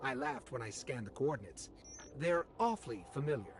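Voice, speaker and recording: A man voicing a small, chatty robot companion in a video game, an eager and wry helpful guide with an expressive, conversational delivery. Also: medium pitch, normal speed, creaky. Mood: wistful, wry. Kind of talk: video game voice acting, mission dialogue. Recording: game music under the voice. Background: game music.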